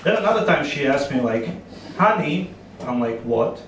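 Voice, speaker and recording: A man in his thirties from Croatia with a Croatian accent, doing an animated, playful stand-up set on a club stage with a handheld mic. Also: fairly high pitch, recorded from a distance, slight background noise. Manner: animated, playful storytelling with quick asides. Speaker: stand-up comedian. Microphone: handheld microphone. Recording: echoey club, audience laughter. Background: audience laughter.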